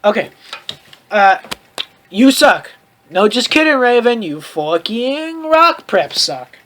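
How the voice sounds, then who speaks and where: A man's voice: very high-pitched, very animated, takes it slowly, a bit bright, a little hiss or hum. A man hosting a comedic read-aloud of fan fiction, with theatrical, mocking asides and casual commentary.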